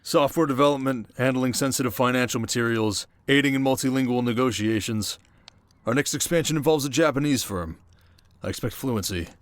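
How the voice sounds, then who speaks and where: Audio roleplay role, male performer: demanding middle-aged male business magnate, formal, measured, commanding, low-key, very dry sound. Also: no echo, close to an everyday voice. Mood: stern, testing.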